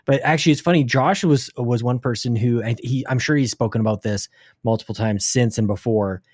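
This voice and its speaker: A man's voice: medium pitch, a little fast, darkish tone, slightly rough, no room sound, clean studio sound. A man hosting a gaming podcast, talking in a casual, thoughtful way. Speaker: podcast host. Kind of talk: casual conversation.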